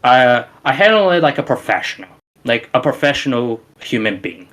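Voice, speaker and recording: A man's voice: mid-range voice, sing-song, normal speed, a bit bright. A man with a Romanian accent, a musician guest on a casual podcast, talking in an emphatic, rambling way with many restarts.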